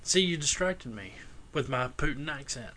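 A man's voice: mid-range voice, expressive, moderate pace, pushed and bright. A man hosting a casual podcast, talking in a crude, opinionated way and swearing freely. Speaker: podcast host.

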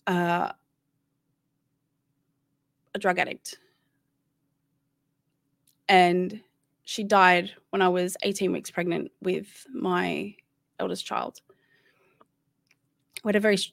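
A woman's voice: on the low side, creaky, no room sound, studio quality. An Australian woman in her thirties, a guest chatting casually and candidly, rambling with many restarts. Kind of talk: casual interview chat.

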